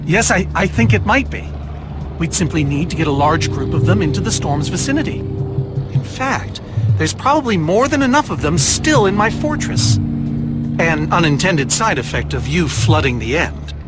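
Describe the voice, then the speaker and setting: High-pitched, excitable eccentric inventor. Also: male voice, normal speed. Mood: musing. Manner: theatrical, fussy, exclamatory. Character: eccentric, excitable older male inventor, haughty and easily exasperated. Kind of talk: animated video game dialogue. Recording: loud music behind the voice.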